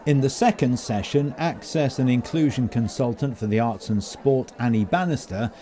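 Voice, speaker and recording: A man narrates as a podcast host in a scripted style. His voice is mellow and soft-edged, sitting at a middling pitch. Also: moderate pace.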